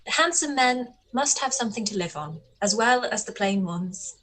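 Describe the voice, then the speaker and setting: A woman playing a spirited young gentlewoman in a period radio play, arch, composed and polite. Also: mid-range voice, lively intonation, normal speed. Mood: wry, gracious. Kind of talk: radio play live read.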